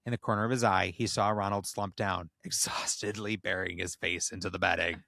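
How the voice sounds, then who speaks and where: A man's voice: low voice, fairly even intonation, brisk, creaky, bright, forceful tone, no echo, clean studio sound. A man co-hosting a comedy podcast, reading a story aloud in dramatic narration. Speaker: podcast co-host. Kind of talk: comedic dramatic reading of erotic fan fiction.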